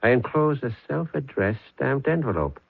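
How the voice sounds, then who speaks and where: A man's voice: medium pitch, big pitch swings, moderate pace, vocal fry, slightly rough, no echo, full character voice. An actor playing a sly man who reads out a letter. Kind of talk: 1970s radio drama acting. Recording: dull, dry radio recording with faint music.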